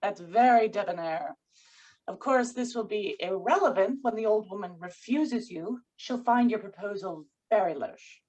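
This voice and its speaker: A woman's voice: medium pitch, normal speed, a little mellow, dull audio, natural voice. Woman playing a sardonic, exasperated lover. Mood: sardonic, irritated. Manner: dry, arch, pointed questioning. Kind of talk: table read of screenplay dialogue.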